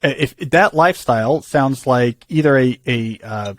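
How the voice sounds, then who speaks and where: A man's voice: medium pitch, normal speed, no room sound, clean studio sound. A man hosting a call-in advice show, talking through ideas thoughtfully with frequent restarts. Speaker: podcast host giving career advice.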